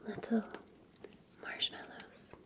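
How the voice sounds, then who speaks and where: Female, Scottish, ASMR barista roleplay: breathy whisper, gentle, very unhurried. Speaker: ASMR performer playing a café barista. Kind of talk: soft-spoken ASMR roleplay.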